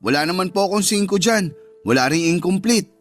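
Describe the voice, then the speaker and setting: A high-voiced, defensive Filipino teenage son. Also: male voice, expressive, a little fast, soft background music. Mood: defensive, sheepish. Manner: pleading, polite, defensive. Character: teenage student son, respectful but defensive. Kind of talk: Tagalog radio drama acting.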